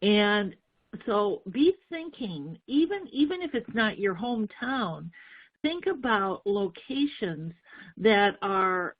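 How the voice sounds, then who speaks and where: A woman's voice: mid-range voice, sing-song, takes it slowly, husky. A woman from North Dakota giving a webinar on book marketing as a book coach, telling stories in a chatty way with fillers and restarts. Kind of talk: webinar presentation with slides. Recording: tinny phone-like webinar audio.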